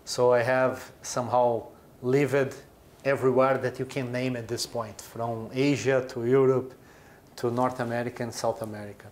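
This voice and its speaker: A man's voice: medium pitch, level tone, normal speed, darkish tone. Brazilian man explaining business plainly. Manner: explains with examples, short emphatic phrases. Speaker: chief executive of a brewing company. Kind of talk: business interview.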